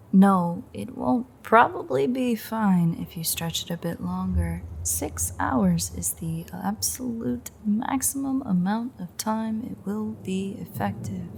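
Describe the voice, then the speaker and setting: A woman's voice: mid-range voice, vocal fry, darkish tone, a little hiss or hum. A woman playing a sardonic, threatening female vampire with a secret fondness, in a wry, teasing, half-whispered audio roleplay. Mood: dry reading aloud. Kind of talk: intimate audio roleplay acting.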